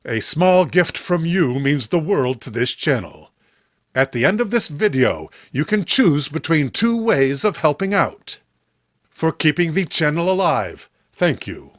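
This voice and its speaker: A man delivers dramatic horror narration at a deliberate, slow pace. His fairly high voice moves up and down expressively. He sounds theatrical and scripted. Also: a bit bright, dry recording, studio quality. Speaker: narrator and channel host.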